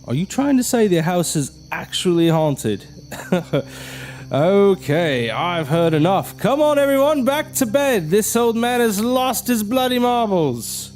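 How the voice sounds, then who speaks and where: A man plays a skeptical young man in a horror audio drama. He is sarcastic and impatient, his fairly high voice rising and falling sharply. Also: very animated, measured pace, a little mellow, soft background music. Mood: scornful. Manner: blunt, slangy, sarcastic.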